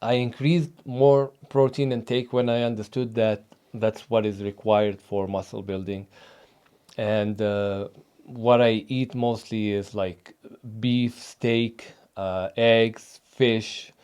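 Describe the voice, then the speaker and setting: A man's voice: fairly low pitch, fairly even intonation, takes it slowly, darkish tone, clean tone. A man originally from Tunisia, a fitness trainer talking casually in an interview, emphatic and hesitant with many fillers.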